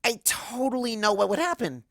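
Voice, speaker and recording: A woman voicing an excitable young female cartoon pony, bubbly and breathless, rambling through a first-person story. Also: fairly high pitch, normal speed, no room sound, studio quality, pitched above the performer's own voice. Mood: giddy.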